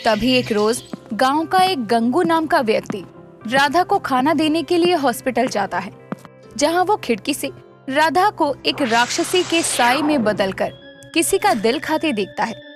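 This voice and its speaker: A woman's voice: sits high, animated, measured pace, faint music behind. A woman narrating a scripted moral story for animation in a dramatic storytelling style.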